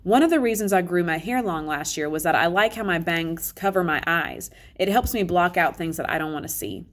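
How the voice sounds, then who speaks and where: Lower-pitched woman reading a story aloud. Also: animated, a little fast, fairly bright tone. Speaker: read-aloud reader. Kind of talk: expressive children's novel narration.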